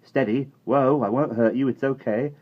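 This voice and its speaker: A man's voice: mid-range voice, normal speed, voice pushed up, lightly acted. A man voicing an earnest, caring and stubborn young boy. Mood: soothing. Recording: dull lo-fi recording.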